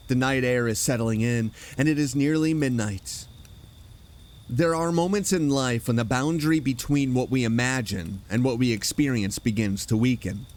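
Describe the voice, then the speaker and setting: A man hosting a horror radio program, giving a scripted, atmospheric campfire-style introduction with measured storyteller phrasing. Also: medium pitch. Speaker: host introducing vintage horror dramas.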